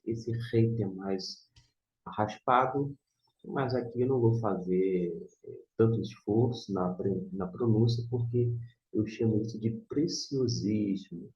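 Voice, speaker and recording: A man's voice: on the low side, slow pace, a little mellow. A man with a Brazilian Portuguese accent giving an informal tutorial as a language teacher, didactically repeating and sounding out words. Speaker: Biblical Hebrew teacher. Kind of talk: informal screen tutorial lesson. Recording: muffled, echoey room.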